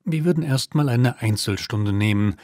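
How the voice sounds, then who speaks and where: A man's voice: mid-range voice, animated, normal speed, a little mellow, dry recording, studio quality, lightly acted. A man playing a polite, businesslike father making an enquiry in a scripted language-lesson dialogue. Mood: courteous. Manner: courteous, businesslike. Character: polite father seeking tutoring for his daughter.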